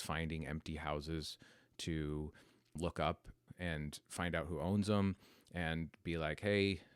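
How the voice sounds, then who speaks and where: Podcast host, male, 40s, American. Very deep voice recorded dry, thinking aloud through a personal story. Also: clean tone, dry recording. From United States. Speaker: podcast host telling their life story. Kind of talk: reflective, unscripted personal storytelling.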